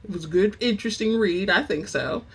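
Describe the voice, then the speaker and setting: A narrator reading and presenting fiction in an expressive, theatrical storytelling manner as host of a reading channel. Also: medium pitch, a little fast, slightly rough. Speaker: audiobook-style reader and channel host. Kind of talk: dramatic book narration; casual chatty sign-off to listeners.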